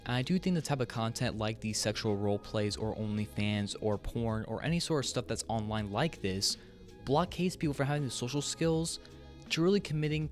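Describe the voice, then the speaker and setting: A man giving frank, earnest opinion commentary in a conversational, casually profane way, as the host of a video essay. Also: mid-range voice.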